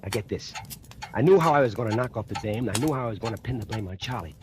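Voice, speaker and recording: An actor playing a sly gloating killer. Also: male voice, fairly low pitch, expressive, very fast, background noise, lightly acted. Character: scheming male factory worker narrating his murder plot. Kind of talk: 1940s radio acting. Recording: old radio broadcast with music.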